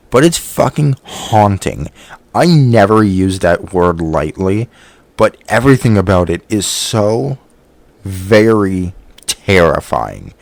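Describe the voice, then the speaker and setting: Low-pitched man giving a dry-recorded review. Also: creaky, darkish tone, no room sound. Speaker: video reviewer. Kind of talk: opinionated video review commentary.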